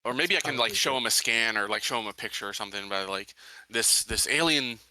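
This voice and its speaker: A man playing a tabletop roleplaying game, improvising his character's words casually and haltingly as he thinks aloud. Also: lowish voice, bright, forceful tone, no room sound, rough recording.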